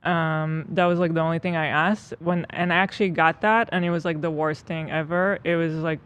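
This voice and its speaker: Smooth-voiced Israeli woman, soft and chatty. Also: low-pitched, fairly even intonation, a little fast. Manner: soft-spoken, storytelling with many fillers. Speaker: co-host. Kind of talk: casual podcast chat.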